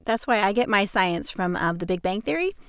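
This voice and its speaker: A woman's voice: mid-range voice, lively intonation, brisk, fairly bright tone, clean tone, no room sound, clean studio sound. A woman chatting casually in a playful manner. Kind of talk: casual chat.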